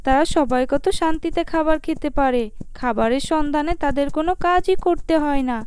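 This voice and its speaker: A woman's voice: sits high, fairly quick, a little mellow, lightly acted. A woman voicing a righteous female ghost who defends the poor in a cartoon. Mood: wistful. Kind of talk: cartoon dubbing.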